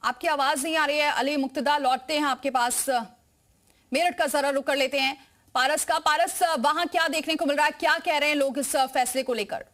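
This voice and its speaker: A woman works as a live news anchor. Her voice sits high as she questions reporters and moves the bulletin from one to the next. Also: steady tone, normal speed, a bit bright.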